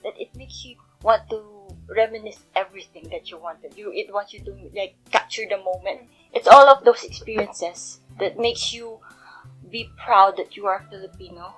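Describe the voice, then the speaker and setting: Woman, middle pitch, breathy husky voice, earnest, faint music behind. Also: moderate pace, a bit bright, low-quality recording. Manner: earnest, proud. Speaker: interviewee. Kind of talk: personal interview.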